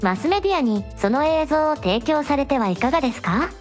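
A woman's voice: high voice, sing-song, a little fast, soft background music. A woman voicing a polite, supportive young princess hosting a livestream in a radio drama, speaking in formal honorifics. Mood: concerned.

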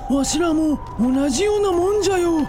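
An old village evacuee acted out by a performer in a radio drama. The voice is high and the speech folksy and fretful, over clearly audible music. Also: expressive, brisk. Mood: weary, worried. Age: older. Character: worried elderly village man evacuee, folksy.

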